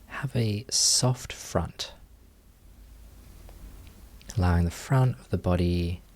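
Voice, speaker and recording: Man, low-pitched voice, very slow delivery, gentle meditation teacher, long pauses, guiding instructions.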